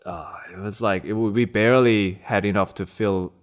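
Man in his thirties, born in Korea, low voice, smooth and clear tone, relaxed guest chat. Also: moderate pace. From South Korea. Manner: relaxed, reflective, with frequent fillers. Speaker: interview guest. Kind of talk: casual conversation.

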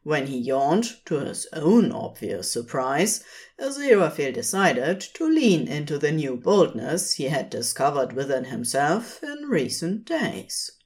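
A woman reading a piece of fiction aloud as narration, in a gentle, expressive storytelling manner. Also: low voice, a little slow. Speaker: podfic reader.

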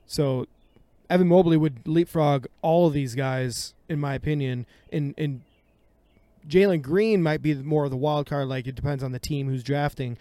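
A man co-hosting a casual sports podcast, talkative and analytical, quick to laugh. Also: fairly high pitch, mellow, no echo. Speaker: podcast co-host.

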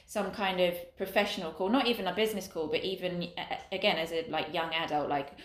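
A woman talks casually and thoughtfully on a podcast, with a voice that sits a little low for a woman. She hesitates and restarts as she thinks aloud. The recording has a noticeable room echo. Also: fairly even intonation, brisk. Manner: reflective, hesitant with fillers. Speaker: podcast co-host. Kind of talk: casual conversational chat. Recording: roomy echo.

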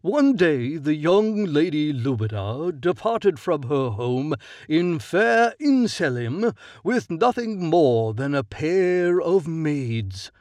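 A man's voice: fairly high pitch, very animated, slow pace, a little mellow, dry recording, pitched above the performer's own voice. A man voicing an earnest, pious young male count with a cherubic, devout manner and a solemn, sing-song way of praying. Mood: reverent.

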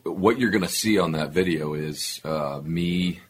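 A man with a baritone voice talking casually in an interview, halting with fillers and restarts. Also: husky. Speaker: guest musician.

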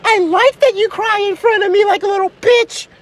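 A very high-pitched, gushing lovesick woman. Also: fairly even intonation, a little fast. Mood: gushing, adoring. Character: Sheila, gushy, lovesick, melodramatic woman with fickle temper. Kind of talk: improvised parody of romantic melodrama.

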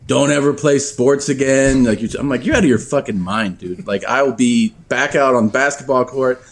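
A man telling casual stories as a podcast guest, animated and profane, laughing often. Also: medium pitch, normal speed. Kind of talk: casual storytelling.

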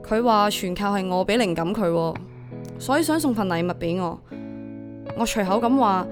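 A woman's voice: mid-range voice, moderate pace, over music, close to an everyday voice. A woman acting a burdened, devoted young single mother in a radio drama, emotive and confiding, with a Hong Kong Cantonese accent. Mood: wistful, hurt.

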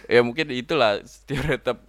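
A man's voice: medium pitch, normal speed, no room sound. A young man from Kalimantan, Indonesia, chatting casually as a podcast guest, slangy and swearing, often breaking into laughter. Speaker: guest co-host.

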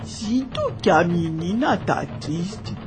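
A woman's voice: lowish voice, very animated, slow pace, over music. An actress playing a tender, wise old blind enslaved woman in a radio drama, with folksy, motherly Brazilian speech. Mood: concerned, motherly. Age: older.

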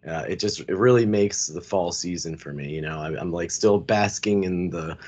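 A man hosting a casual podcast chat, his voice a bit raspy, rambling loosely with plenty of fillers. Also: low-pitched, normal speed, darkish tone. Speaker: podcast host.

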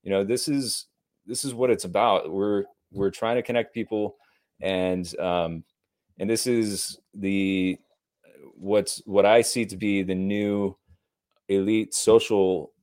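A male guest with a lowish voice, talking earnestly and at length. He rambles and builds up enthusiasm, with a casual swear word here and there. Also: steady tone, slow pace, a little mellow. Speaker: podcast guest. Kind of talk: casual live-stream chat.

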